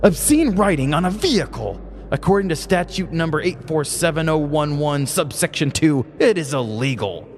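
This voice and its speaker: A woman's voice: sits low, animated, normal speed, darkish tone, in a large hall, voice pushed down. A woman voicing a bossy, self-important small-town male police deputy with a stern, officious manner in a comedic true-crime skit. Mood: insistent, indignant.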